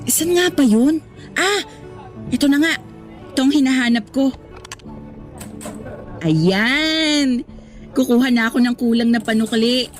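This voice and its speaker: Woman playing polite, high-voiced young female cashier. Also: close to an everyday voice. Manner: deferential, hesitant. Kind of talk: Tagalog radio drama acting. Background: music.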